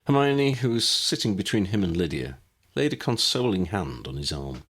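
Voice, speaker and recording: Deep-voiced man narrating, highly animated. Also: normal speed, husky. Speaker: audiobook narrator. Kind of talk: scripted story narration.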